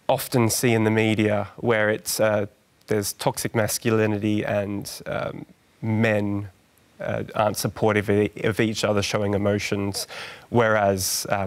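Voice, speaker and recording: Guy in his 30s w a soft low voice, measured and gentle, tv studio panel. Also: flat delivery. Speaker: studio audience guest. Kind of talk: studio discussion. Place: large television studio.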